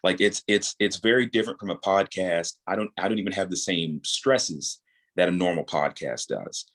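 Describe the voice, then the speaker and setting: A man's voice: deep voice, moderate pace, a bit bright. A man in his thirties speaking as a guest in a casual interview, explaining his creative craft and repeating points for emphasis. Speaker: audio drama creator, podcast guest.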